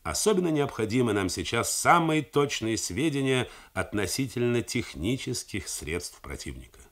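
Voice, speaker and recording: A man reading the part of a calm, deliberate army general who reasons things through steadily. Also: mid-range voice, voice pushed up. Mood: reasoning. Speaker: army commander.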